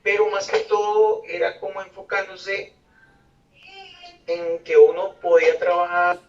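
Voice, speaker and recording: A man giving informal testimony as an interviewee, pausing and searching for words. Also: sits high, a little hiss or hum. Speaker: interviewee in a research project. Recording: echoey room, distant muffled mic.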